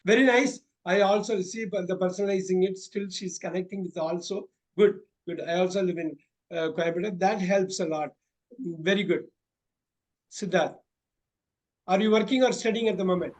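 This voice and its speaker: A man with a high voice and an Indian English accent runs a mock speaking exam for his students. He coaches them through each question and keeps repeating his praise. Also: normal speed, rough recording. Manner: encouraging, repeats praise often.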